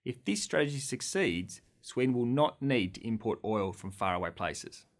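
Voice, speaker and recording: A man in his thirties from Australia, presenting a scripted lecture to camera in a studio with an upbeat, clear delivery. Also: medium pitch, lively intonation, normal speed. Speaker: course lecturer. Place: studio against a green screen.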